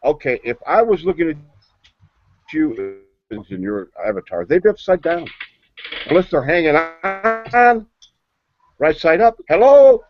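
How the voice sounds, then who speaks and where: A man's voice: fairly high pitch, big pitch swings, measured pace, pauses often, lo-fi sound, over music. A man in a heated online voice chat, blustering, cursing and repeating himself. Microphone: computer speakers, no headset.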